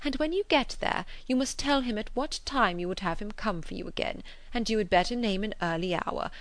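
A woman doing a fretful, fussy old gentleman. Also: mid-range voice, brisk, no echo, pitched above the performer's own voice, natural voice. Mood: fussing. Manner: anxious, fussing, gently pleading. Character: fretful elderly gentleman, fussy invalid father.

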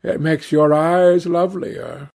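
A man voicing a languid, witty, cynical aristocratic gentleman in an audiobook, with drawling, epigrammatic speech. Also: fairly high pitch, steady tone, slow, vocal fry, pitched above the performer's own voice. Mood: languid, teasing.

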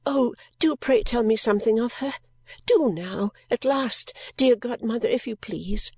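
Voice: medium pitch, a little slow, darkish tone, breathy edge, dry recording, voice pushed up. A reader voicing a frightened little girl who begs her godmother through tears. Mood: pleading. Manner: tearful, begging.

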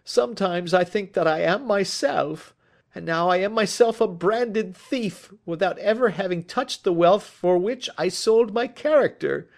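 A man's voice: high-pitched, measured pace, gravelly. A man reading aloud as a frightened, desperate young male thief confessing his story in an agitated, pleading way. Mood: despairing. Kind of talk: audiobook reading.

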